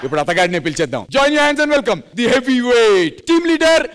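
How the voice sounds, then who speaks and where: A man hosting a stage show with lively banter. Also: extremely high pitch, unhurried, slight background noise. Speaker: dance show host. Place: TV studio stage. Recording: music playing behind the voice.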